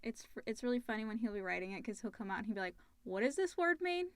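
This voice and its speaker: A woman's voice: a little high, sing-song, fast, no echo. A woman co-hosting a casual question-and-answer chat, reading out questions and chiming in. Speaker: co-host reading listener questions.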